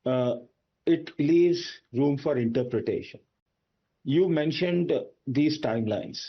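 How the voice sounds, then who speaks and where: A man's voice: mid-range voice, lively intonation, slow pace, slightly rough, muffled recording. A man with an Indian accent giving emphatic, argumentative expert analysis on a news debate. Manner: emphatic, argumentative, with rhetorical questions. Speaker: aviation expert panelist.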